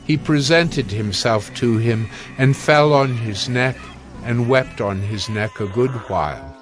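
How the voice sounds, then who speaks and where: A man narrating a dramatized scripture reading in a steady, even storytelling manner. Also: medium pitch, slow.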